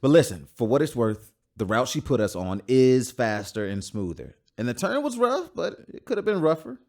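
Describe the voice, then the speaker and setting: An actor playing an easygoing starship pilot with a laid-back, gruffly kind manner. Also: male voice, medium pitch, big pitch swings, moderate pace, darkish tone.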